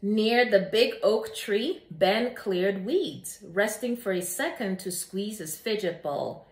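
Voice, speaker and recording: A woman host speaking in an expressive, deliberate, enthusiastic storytelling manner for a children's read-aloud channel. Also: medium pitch, reverberant. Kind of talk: scripted-sounding channel introduction and animated children's story reading.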